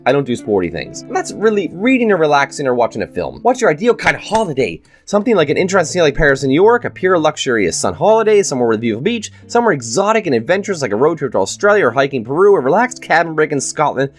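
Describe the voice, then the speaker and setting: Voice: medium pitch, very animated, racing, few pauses, soft background music. A YouTuber with a stuffed-up nose, casually reading quiz questions aloud and giving chatty, opinionated answers. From Canada. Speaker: YouTuber host.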